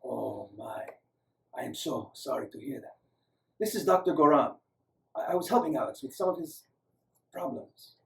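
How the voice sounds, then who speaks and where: A man voicing a gentle, courteous older male doctor with an Eastern European accent in a story, speaking hesitantly. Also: medium pitch, expressive, moderate pace, vocal fry, pauses often. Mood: sorrowful. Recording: roomy, echoing, distant microphone.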